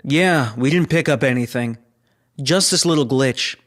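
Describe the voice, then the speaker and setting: An actor playing a skeptical, businesslike space station operator in a sci-fi audio drama. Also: male voice, mid-range voice, a little slow, fairly bright tone. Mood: dismissive.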